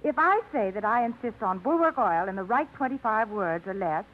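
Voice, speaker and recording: A woman's voice: medium pitch, lively intonation, brisk, a little hiss or hum, lightly acted. An actress playing a wry, independent young woman tired of routine, with a dry wit, in a 1950s radio drama. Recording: tinny old radio broadcast.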